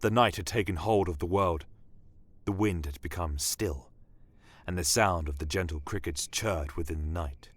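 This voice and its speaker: Deep-voiced man narrating a fantasy tale. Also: normal speed, no room sound. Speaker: storyteller. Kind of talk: scripted first-person fantasy narration.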